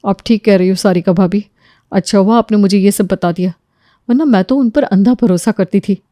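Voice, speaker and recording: A woman's voice: mid-range voice, fairly quick, warm tone, dry recording, studio quality, natural voice. A woman playing an easily provoked, stubborn married daughter in a Hindi audio story, speaking emphatically. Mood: shocked. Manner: emphatic, indignant.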